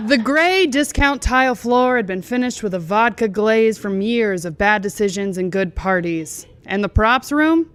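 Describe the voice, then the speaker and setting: A woman's voice: medium pitch, expressive, a little slow, few pauses, clean tone, no room sound. A drunk woman reading a bawdy comic story aloud as a storyteller.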